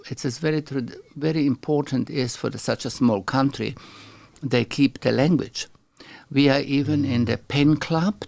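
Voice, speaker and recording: A middle-aged man from Slovenia with a Slovenian accent, a native Slovenian speaker, chatting as an interview guest and often pausing to search for English words. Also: mid-range voice, creaky, darkish tone. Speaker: guest artist. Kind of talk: casual interview chat.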